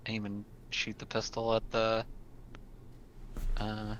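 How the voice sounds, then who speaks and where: Lowish male gamer on dull voice chat. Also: monotone, slow pace, fairly bright tone, slight background noise. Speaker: tabletop game player. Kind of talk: casual game chat.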